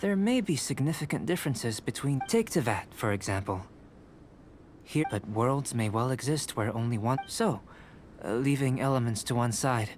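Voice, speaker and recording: A man playing a calm, curious young alchemist in an anime game, speaking in a measured, articulate, scholarly way. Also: medium pitch, slight background noise, close to an everyday voice. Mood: calm curiosity.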